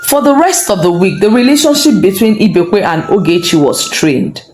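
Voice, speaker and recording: A woman's voice: medium pitch, lively intonation, normal speed, darkish tone, echoey room, soft background music. A woman storyteller reading a scripted folktale narration.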